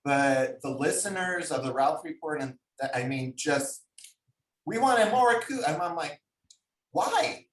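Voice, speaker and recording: A man's voice: a little high, animated, a little slow. A man chatting casually as a talk show guest, self-deprecating and comically indignant, in an echoey room. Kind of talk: casual chat. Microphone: no dedicated microphone, far from mouth. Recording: echoey room, distant microphone.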